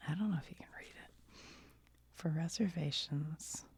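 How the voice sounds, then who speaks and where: A woman with an American accent murmurs in a low-pitched, heavily creaky whisper. Her breath roughens every phrase and blurs the tone. She chats casually and reads aloud as if thinking out loud for an ASMR viewer. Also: normal speed. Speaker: host of a whispered show-and-tell video. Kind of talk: ASMR, whispered show-and-tell with reading aloud.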